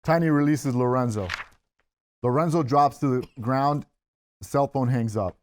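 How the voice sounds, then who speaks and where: A man's voice: medium pitch, moderate pace, a little hiss or hum. A man narrating the scene directions at a table reading of a film script. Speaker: stage-direction reader.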